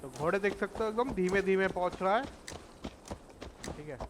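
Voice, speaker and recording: A man's voice: high voice, racing. An Indian-accented man giving casual commentary as he plays through a video game. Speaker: gaming video host. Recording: loud game noise behind. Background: video game sounds, horse hooves.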